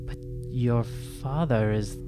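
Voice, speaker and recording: A young man plays a tabletop roleplaying game in his everyday voice. He talks slowly and haltingly at a middling pitch, with music clearly audible behind him. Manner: hesitant, halting questioning. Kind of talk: improvised in-character dialogue in everyday voice. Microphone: close podcast microphone on stand. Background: instrumental music.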